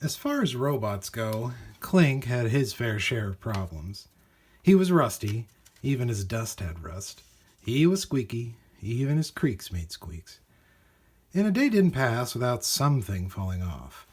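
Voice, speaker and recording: A man reading a children's storybook aloud in an animated, expressive way with dramatic emphasis. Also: mid-range voice, measured pace, husky. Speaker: storybook reader.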